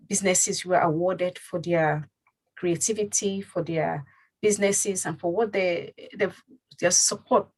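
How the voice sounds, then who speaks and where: A woman's voice: lowish voice, husky, low-quality recording. A woman hosting a community talk show, speaking casually and rambling with many fillers and restarts. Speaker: host.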